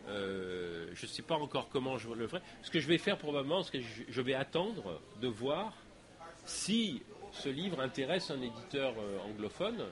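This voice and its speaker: A man's voice: medium pitch, sing-song, normal speed, a crowd in the background. A man, speaking French as his first language, answers audience questions after a lecture in a hall, thinking aloud with many fillers and restarts. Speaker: guest speaker.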